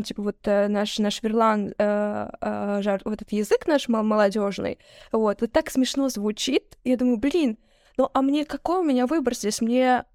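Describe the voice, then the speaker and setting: A woman's voice: a little high, dry recording, studio quality. A young woman from Russia, a podcast guest, telling stories in a casual chat and acting out the people she quotes. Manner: rapid, animated storytelling, acting out quoted people.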